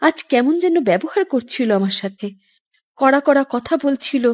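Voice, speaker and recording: A woman's voice: sits high, lively intonation, moderate pace. A woman playing a worried middle-aged housewife and mother, fretful and insistent, in a cartoon drama.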